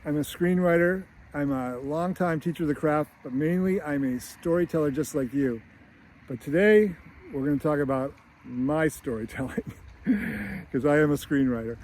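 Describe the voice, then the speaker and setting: A man's voice: on the high side, moderate pace, a little mellow. Chatty middle-aged Boston man outdoors. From United States. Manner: chatty and rambling, with laughs and restarts. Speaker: screenwriter and teacher. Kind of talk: casual vlog to camera. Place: outdoors near a beach.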